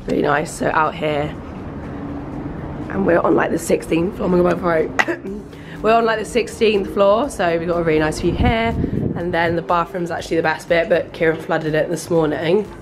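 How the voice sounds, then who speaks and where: Croaky young woman vlogging. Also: fairly low pitch, steady tone, normal speed, background noise. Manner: chatty, teasing, laughs often. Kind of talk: casual vlog chat.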